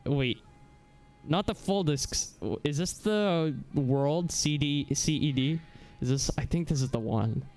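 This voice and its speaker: A man hosting a casual live software demonstration, talking haltingly as he searches for words. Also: on the high side. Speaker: livestream host. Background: music.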